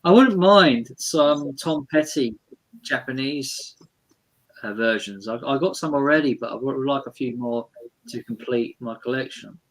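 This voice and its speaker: A man with a British accent in a relaxed, informal conversation about collecting records. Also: medium pitch, a little slow, rough recording. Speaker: record collector on a chat show. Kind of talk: casual chat about vinyl records.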